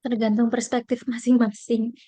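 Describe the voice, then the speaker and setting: A woman's voice: a little high, level tone, moderate pace, warm tone. A woman sharing her view in a casual class discussion, easygoing and mixing in English. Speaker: class participant.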